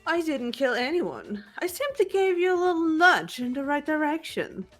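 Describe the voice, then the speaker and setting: Woman as young female game villain, high-pitched, animated, haughty, grandiose, taunting. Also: moderate pace, faint music behind. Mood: haughty, amused. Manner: grandiose, mocking, theatrical. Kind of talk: fan voice-over of a video game.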